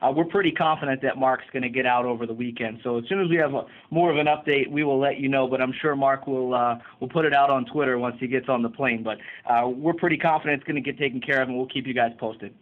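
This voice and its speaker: A man's voice: mid-range voice, steady tone, fast talker, hardly any pauses. A man giving corporate remarks as moderator of a press call over the phone. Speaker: public relations moderator. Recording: narrow phone conference line.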